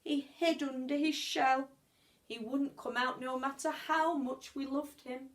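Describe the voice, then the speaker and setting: A woman reads a children's storybook aloud in a fairly high voice. Her pace is very slow, with expressive phrasing, drawn-out words and deliberate pauses, as a teacher would read to a class.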